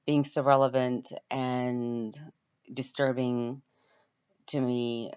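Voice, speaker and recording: A woman with a Ukrainian accent giving an informal self-introduction as a student, often pausing to search for her words. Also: extremely low pitch, clear, resonant tone, muffled recording. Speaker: university student introducing herself.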